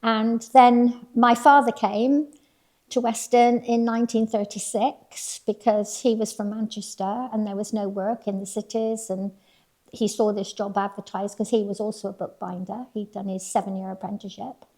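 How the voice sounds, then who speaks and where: An older woman from Weston-super-Mare, talking casually about her family with a gravelly, creaky edge in her voice. She is chatty and breaks into laughs. Her words often restart. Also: medium pitch, little pitch movement, normal speed, a little mellow. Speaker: local resident recalling family history. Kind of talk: casual personal reflection.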